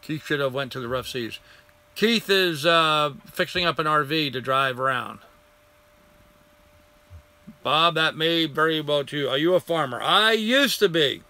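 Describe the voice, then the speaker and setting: An older man hosts a live stream in a bright, clear voice that has gone a little hoarse. He rambles in a friendly way and greets viewers by name as he answers their comments. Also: on the high side, unhurried, long pauses, no room sound.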